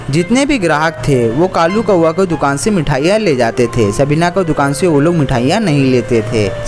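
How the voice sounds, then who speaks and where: A man narrating a scripted children's story as the storyteller. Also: a little high.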